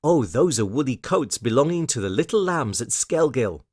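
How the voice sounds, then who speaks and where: A man's voice: medium pitch, sing-song, normal speed, darkish tone, breathy edge, no room sound, studio quality. A man voicing a fussy, eager little female hedgehog washerwoman from a children's storybook, with flustered, hesitant politeness. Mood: busy, chatty.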